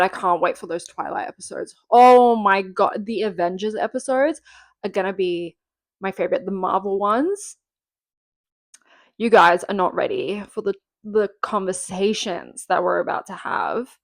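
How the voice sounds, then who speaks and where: A woman's voice: mid-range voice, measured pace, dry recording. A woman in her twenties hosting a casual solo podcast, chatty and rambling with lots of fillers, a bit nasal from being sick, recorded at home. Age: 20s. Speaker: podcast host.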